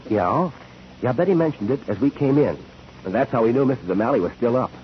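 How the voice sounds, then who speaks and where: A man's voice: mid-range voice, animated, natural voice. Actor as hesitant, frank young man. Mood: unsure. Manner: halting, informal. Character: frank, hesitant, faintly embarrassed young male witness. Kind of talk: 1940s radio acting. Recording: dull, noisy 1940s radio recording.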